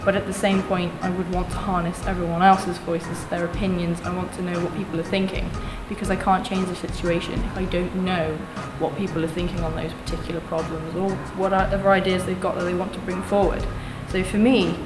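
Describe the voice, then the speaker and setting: A young woman making an earnest, confident campaign pitch as a student election candidate, restating her points in lists. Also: flat delivery, a little fast, few pauses. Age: young adult. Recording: echoey room with music behind.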